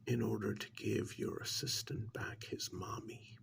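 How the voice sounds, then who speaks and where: A man playing a sinister, menacing agent in an ASMR role-play, speaking softly in a breathy, gravelly half-whisper with deliberate pauses. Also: on the low side, steady tone. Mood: mocking, accusing. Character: sinister male agent coolly interrogating and blackmailing a mad scientist. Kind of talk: soft-spoken ASMR role-play monologue.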